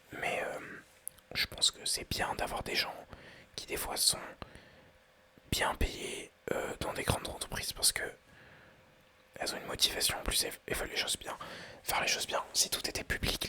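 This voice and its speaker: A man in his twenties whispering ASMR-style as he thinks aloud through quiz questions, hesitant and rambling with many pauses and restarts. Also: sharp tone. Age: 20s. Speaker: ASMR creator.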